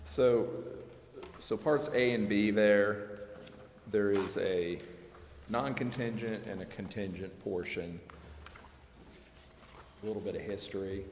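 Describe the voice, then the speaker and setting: Low-voiced man in an echoey hall. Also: slow, pauses often, darkish tone. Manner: plain-spoken, discursive, self-deprecating. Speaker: lawyer advising a city council. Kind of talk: informal explanation at a public meeting. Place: indoor council chamber. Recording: echoey hall with long reverb, background noise.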